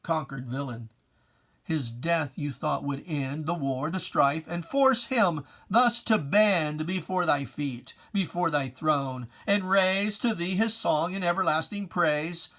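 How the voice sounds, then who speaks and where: Dramatic poetry reader: unhurried, emphatic and theatrical, with preacherly old-fashioned diction and weighty pauses. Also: mid-range voice, fairly bright tone. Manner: theatrical, solemn, emphatic declamation with deliberate pauses; archaic preacherly diction, addressing a foe directly. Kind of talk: dramatic poetry reading, scripted and declaimed.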